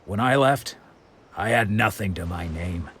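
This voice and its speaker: An actor voicing a weary wandering swordsman in a game, stern but caring, speaking in a grave, measured way with sighs. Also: lowish voice, lively intonation, a bit bright, slight background noise. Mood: earnest. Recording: game soundtrack behind.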